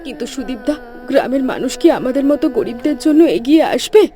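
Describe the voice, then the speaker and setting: A woman plays a tender young village wife in a cartoon, her voice high but mellow and warm. She pleads softly and anxiously with her husband. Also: expressive, fast talker. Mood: pleading. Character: gentle devoted young fisherman's wife. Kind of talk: cartoon voice acting.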